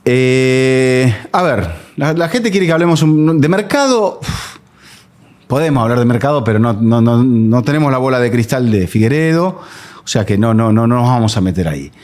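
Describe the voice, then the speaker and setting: A man's voice: medium pitch, moderate pace. A man co-hosting a football talk show, asking questions and steering the conversation. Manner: lively, questioning, steers the topic. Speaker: co-host. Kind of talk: casual football discussion.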